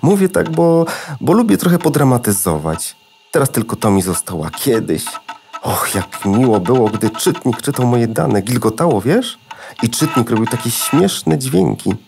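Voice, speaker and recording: Old floppy disk for kids' audio play, male actor: mid-pitched, melodramatic, politely old-fashioned, forgotten and wistful. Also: very animated, normal speed, vocal fry, music in the background. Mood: nostalgic, dramatic. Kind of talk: children's audio drama acting.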